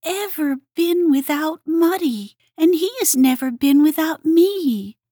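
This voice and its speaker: A timid little hedgehog girl on the edge of tears, as a woman puts her on. The voice jumps up high and thin, stammering and slow. Close and dry. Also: mellow, no echo. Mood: tearful. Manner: tearful, stammering. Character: small sad young female hedgehog, timid. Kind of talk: storybook read-aloud.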